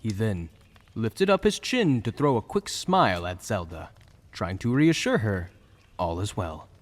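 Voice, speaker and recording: A man's voice: on the low side, sing-song, measured pace. A man narrating a fantasy story in a dramatic, scripted storytelling style. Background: soft music.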